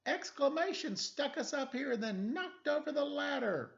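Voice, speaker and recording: A man's voice: very high voice, lively intonation, normal speed, vocal fry, pitched above the performer's own voice, exaggerated voice. A man voicing alarmed, indignant capital letters in a children's detective storybook, crying out their lines. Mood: alarmed, pleading. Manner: crying out, yelling. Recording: dull lo-fi sound.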